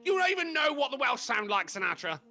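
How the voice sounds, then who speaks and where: A man's voice: extremely high pitch, sing-song, quick pace, vocal fry, pushed and bright, soft background music. A man co-hosting a livestream, chatting casually in a cheeky, teasing way and cutting in with short remarks. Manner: cheeky, interjecting. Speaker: co-host.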